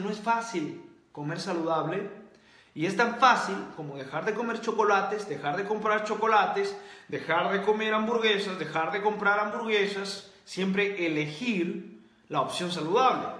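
A man with a high voice lectures viewers in a motivational livestream, hammering his points home by repeating them. His voice rings out in a roomy, echoing space. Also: a bit bright. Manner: preachy, repeats key points. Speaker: host. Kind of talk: motivational livestream talk, reading comments.

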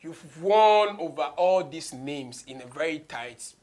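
A man's voice: a little high, animated, unhurried, a little mellow. A man in his thirties with a Ghanaian accent, hosting a casual conversational interview. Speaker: radio show host, interviewer. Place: small indoor studio.

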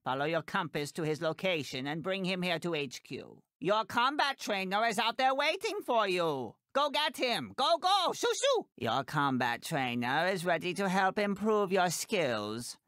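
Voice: medium pitch, very animated, unhurried, few pauses, a bit bright, no echo, clean studio sound. A performer voicing a tiny, imperious older woman fashion designer in a video game, theatrical and bossy, calling everyone darling. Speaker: mission giver.

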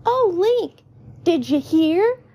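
A woman voicing a loud, bold, excitable young village boy in storybook dialogue. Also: very high voice, unhurried, voice pushed up. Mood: excited. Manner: excited, exclaiming.

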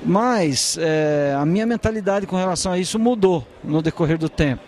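A man in his forties, an engineering student who runs his own business, speaking in a measured, hesitant way into a handheld mic in a university corridor. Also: high-pitched, darkish tone. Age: 40s. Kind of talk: documentary interview.

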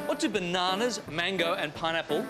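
A man's voice: high voice, lively intonation, brisk, music in the background, rough recording. Playful Australian male travel presenter. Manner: playful, punning, upbeat. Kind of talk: scripted travel voice-over and banter.

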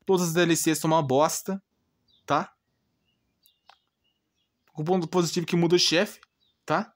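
A Brazilian man with a slightly high voice speaks as if to his channel's viewers in an informal rant. He is blunt and exasperated, circling back over his points and firing off rhetorical questions. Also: fairly even intonation, normal speed. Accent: Brazilian Portuguese. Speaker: gaming channel host.

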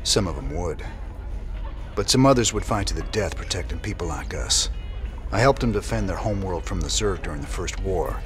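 Low-voiced, weary rebel marine. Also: male voice, animated, fairly quick, faint music behind. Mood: guarded, earnest. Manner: laconic, folksy. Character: weary, loyal male rebel space marine. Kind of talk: video game cutscene acting.

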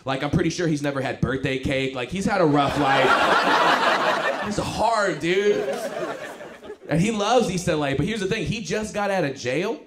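A man's voice: on the high side, expressive, moderate pace. A young man from Los Angeles doing a stand-up set on a handheld mic, casual and full of fillers. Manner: casual, filler-heavy storytelling. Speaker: stand-up comedian. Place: comedy club stage, indoors. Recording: roomy club with crowd noise.

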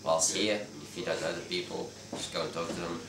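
Teen guy from Belgium, very low voice, distant in a roomy bar over loud music. Relaxed, halting interviewee. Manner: relaxed, hesitant, frequent restarts. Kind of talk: casual interview answers. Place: dim bar with red walls, indoors.

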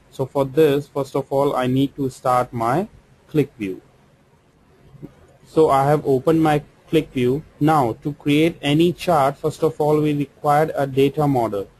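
Slow, methodical Indian English male trainer. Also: mid-range voice, a little mellow, breathy edge, low-quality recording. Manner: slow, step-by-step explaining with fillers. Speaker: software trainer. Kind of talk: screen-recorded software tutorial. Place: voice-over for screen recording.

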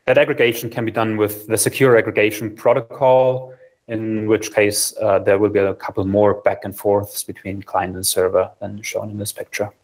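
A young man gives a technical talk from a small room over a webcam, his voice sitting fairly low. He explains in a steady, methodical way, dropping in ums as he goes. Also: moderate pace. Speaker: software engineer presenting a research platform. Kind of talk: technical conference talk over video call. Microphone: video call, webcam framing.

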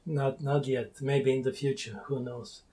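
A man from Bulgaria in his forties, chatting on a video call through an earphone mic, playful and teasing, pausing to search for words. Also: medium pitch, dark tone. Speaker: language learner interviewing a tutor. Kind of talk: casual video-call chat. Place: small room indoors with wooden doors. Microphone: wired earphone mic on a video call.

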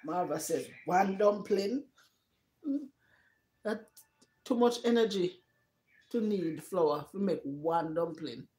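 A woman's voice: on the low side, a little slow, dark tone. Jamaican woman chatting in an echoey room. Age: middle-aged. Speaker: live stream host. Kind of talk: casual live chat, reading out viewers' comments. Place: living room, indoors. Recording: roomy echo of a living room.

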